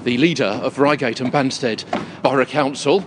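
A man's voice: mid-range voice, steady tone, normal speed. British male interviewer, noisy location sound. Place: inside a circus big top tent. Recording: noisy location recording inside big tent.

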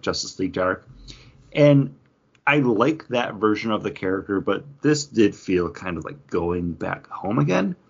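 A man's voice: sits low, slightly rough, muffled recording. A man co-hosting a podcast, talking in casual conversation as he shares his opinions. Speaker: podcast co-host reviewing comics. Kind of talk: casual chat.

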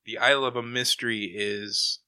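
A man's voice: mid-range voice, steady tone, moderate pace, pushed and bright, smooth, no room sound. A man co-hosting a podcast in a casual, chatty style. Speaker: podcast co-host. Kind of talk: casual chat.

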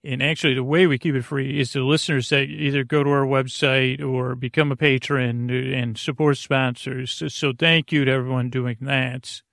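A man with a creaky voice hosting a bedtime podcast, meandering hesitantly, mumbling and trailing off in a goofy way. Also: medium pitch, little pitch movement, moderate pace, hardly any pauses, fairly bright tone, no echo, clean studio sound. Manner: silly, goofy. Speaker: podcast host. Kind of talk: rambling bedtime podcast monologue.

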